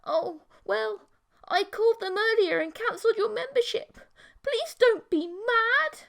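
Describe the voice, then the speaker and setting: A woman's voice: very high voice, unhurried, vocal fry, a bit bright, voice pushed up, full character voice. A woman voicing a possessive, manipulative alien mantis girlfriend in an audiobook, coaxing and insistent. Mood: coy, pleading. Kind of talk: audiobook character voice.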